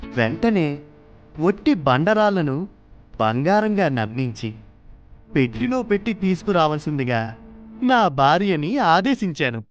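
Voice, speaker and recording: A man voicing a clever, gleeful court poet with a self-satisfied manner in an animated children's story. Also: fairly high pitch, unhurried, long pauses. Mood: proud. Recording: muffled lo-fi, music underneath.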